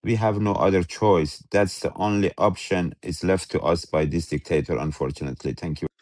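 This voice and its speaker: A man's voice: low voice, steady tone, brisk, darkish tone, no echo, clean studio sound. A man from Iran, speaking earnestly and emphatically in an audio chat room. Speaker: audience speaker. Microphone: phone in a voice chat app.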